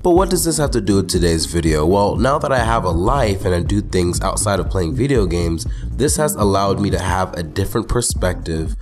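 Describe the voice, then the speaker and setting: A young man with a lowish voice, a bit hoarse from being sick, talking in a casual, slangy, joking way about games. Music plays behind him. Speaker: gaming YouTuber. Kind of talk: casual commentary video. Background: background music.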